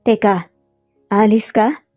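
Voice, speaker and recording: Woman voicing an eager, smitten young man, mellow. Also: medium pitch, steady tone, slow pace, soft background music, lightly acted. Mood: cheerful, persistent.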